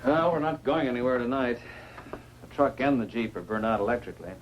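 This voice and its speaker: An actor playing a grave, authoritative scientist in charge, with terse delivery in 1950s science fiction film style. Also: male voice, medium pitch, lively intonation, a little fast, lo-fi sound, natural voice. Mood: sober. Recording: noisy old 1950s film soundtrack.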